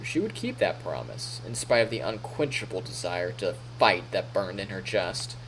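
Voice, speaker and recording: A man's voice: deep voice, very animated, moderate pace, a bit bright, slight background noise, rough recording. A man live streaming, reading story narration aloud in a playful, theatrical way with casual asides.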